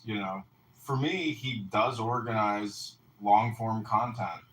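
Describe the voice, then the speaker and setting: A man's voice: lowish voice, fairly bright tone. A man hosting a casual chat, talking loosely with lots of fillers and casual swearing. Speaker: host of a live audio room. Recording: roomy distant phone audio with faint noise.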